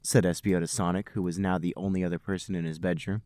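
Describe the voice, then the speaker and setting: A man's voice: deep voice, steady tone, fast talker, warm tone, dry recording, studio quality. A man hosting a podcast, giving a comic dramatic reading of amateur fiction with mock-serious storytelling. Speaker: podcast host and reader.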